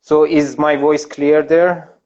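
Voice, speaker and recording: A man from Iran with an Iranian accent, a native Persian speaker, chatting casually and haltingly as a guest on a call. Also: a little high, little pitch movement, darkish tone. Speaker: interview guest, illustrator. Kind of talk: casual video-call chat. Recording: dull, lo-fi internet call audio.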